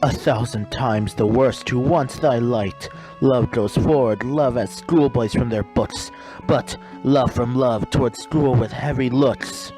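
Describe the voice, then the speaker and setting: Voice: mid-range voice, takes it slowly, mellow, faint music behind, voice pushed down, close to an everyday voice, low-quality recording. A performer voicing a dreamy, lovesick young man who recites poetry earnestly in a comic Shakespeare dub. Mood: wistful.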